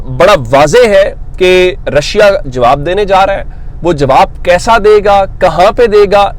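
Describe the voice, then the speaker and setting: A man delivering unscripted news commentary as a host, explaining step by step and posing questions that he then answers himself. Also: high-pitched. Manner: explanatory, reads English quotes slowly then paraphrases them; poses rhetorical questions and answers them.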